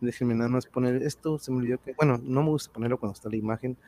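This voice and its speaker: A man's voice: medium pitch, very fast, warm tone. A man with a Mexican accent hosting a casual livestream chat in a jovial, often chuckling way. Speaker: livestream host.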